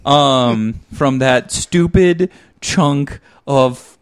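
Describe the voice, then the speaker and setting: Man, middling pitch, comic profane grumbler. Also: drawn-out words, clean tone. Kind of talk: joking banter.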